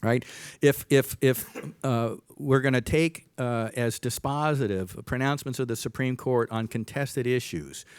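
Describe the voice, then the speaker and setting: A man giving prepared remarks as a panelist, arguing in a measured way with occasional hesitant fillers. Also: mid-range voice, clean tone, dry recording.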